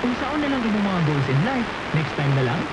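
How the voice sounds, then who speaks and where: A woman's voice: medium pitch, sing-song, brisk, very noisy. A woman with a Cebuano Filipino accent reading an upbeat scripted radio commercial. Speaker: commercial voice-over. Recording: AM radio with loud background music. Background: loud music.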